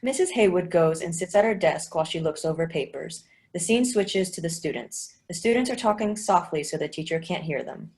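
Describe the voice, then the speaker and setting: A woman reading the stage directions of a play in a plain, even way. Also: fairly low pitch, a little fast, hardly any pauses.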